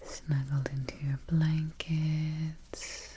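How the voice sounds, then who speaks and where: Soft asmr lady whispering super slow, mellow n soothing, close mic w a bit of background noise. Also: low-pitched, level tone. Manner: slow, gentle, soothing, repeats soft check-ins. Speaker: ASMR host. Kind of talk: ASMR guided sleep relaxation. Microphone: close ASMR microphone.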